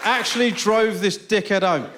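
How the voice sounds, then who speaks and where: A British man doing a stand-up set in a theatre, telling stories with deadpan punchlines. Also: high-pitched, moderate pace, a loud crowd, heavy background noise. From Britain. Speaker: stand-up comedian. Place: large theatre with live audience. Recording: stage mic in theatre. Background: loud audience laughter.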